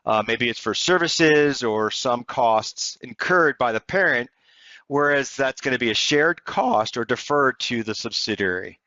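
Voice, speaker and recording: A man narrating a software tutorial step by step in an explanatory, conversational way. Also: medium pitch, a little slow, no echo, muffled recording. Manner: explanatory, conversational walk-through. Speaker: software demonstrator.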